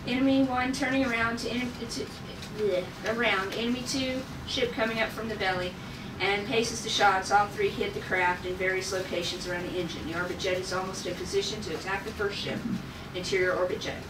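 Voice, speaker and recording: A woman's voice: mid-range voice, fairly even intonation, fairly quick. A woman reading stage directions aloud as narrator at a table read of a script. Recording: echoey room, distant mic, noisy.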